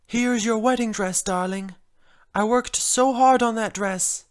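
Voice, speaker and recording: A woman's voice: a little high, unhurried, close to an everyday voice. A woman voicing a refined, fretful female pony fashion designer with a theatrical, genteel manner in a first-person story. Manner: theatrical, genteel, uses "darling". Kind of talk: first-person fan story narration in character.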